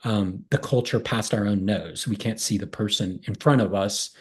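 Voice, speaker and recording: A man's voice: lowish voice, fairly even intonation, moderate pace, husky. A man in his thirties, a political science professor, explaining ideas at length in an interview over a video call from a small room, speaking through earphones with a cable mic. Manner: thoughtful, explanatory, builds long lists. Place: small home room with bare wall.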